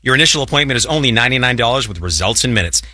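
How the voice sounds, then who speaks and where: A man delivers a scripted radio pitch with a strikingly bright, forceful tone. He is direct and persuasive, in a dry recording. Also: animated, very fast, no room sound. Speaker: advert spokesman.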